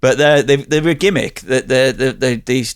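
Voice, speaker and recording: A man's voice: medium pitch, normal speed, smooth, dry recording, clean studio sound. A man co-hosting a podcast, chatting casually with sweary enthusiasm and often repeating himself as he finds words. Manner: sweary, enthusiastic, hesitant repetition. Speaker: podcast co-host. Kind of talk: casual review chat.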